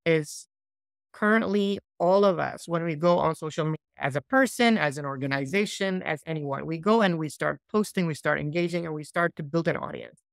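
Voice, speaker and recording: Gravelly-voiced man on a video call. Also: fairly high pitch, brisk, no echo, clean studio sound. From Iraq. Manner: thoughtful, loose, with many fillers and restarts. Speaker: software company founder. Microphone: laptop mic on a video call.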